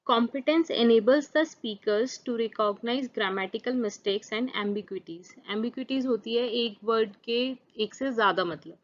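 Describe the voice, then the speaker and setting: Woman, first language Hindi, lesson host who repeats each point, fairly high voice, lo-fi sound. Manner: explains with rhetorical questions and repeats points. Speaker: channel host and teacher. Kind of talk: Hindi lecture on exam topics, reading English definitions slowly. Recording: dull lo-fi recording.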